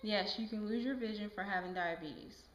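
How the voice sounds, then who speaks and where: A young woman playing a calm nurse in an amateur educational puppet show, explaining things plainly and reassuringly. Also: mid-range voice, fairly quick, natural voice. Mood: instructive. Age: young adult. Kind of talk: amateur scripted educational dialogue. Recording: echoey amateur room recording.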